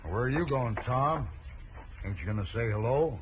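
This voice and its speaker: An actor playing a proud, blunt older rancher with a rural American accent in an old-time radio Western. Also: male voice, mid-range voice, animated, normal speed, background noise, lightly acted. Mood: puzzled, scolding. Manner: blunt, gruff, demanding. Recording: tinny, narrow old radio recording.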